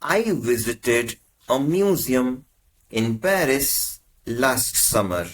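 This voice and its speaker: A man teaching as a language tutor, reading short prompts in slow, measured phrases. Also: mid-range voice, sing-song. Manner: measured, carefully spaced phrases. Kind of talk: slow, deliberate lesson prompts.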